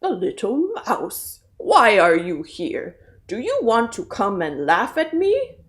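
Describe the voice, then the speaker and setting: A woman voicing a big, proud, hungry male lion for a children's storybook reading, gruff and booming. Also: wildly swooping pitch, slow. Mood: scornful.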